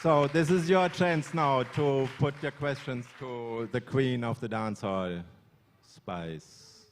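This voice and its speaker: A man's voice: medium pitch, slow pace, a little hiss or hum. A man moderating a public talk, asking questions with hesitant restarts.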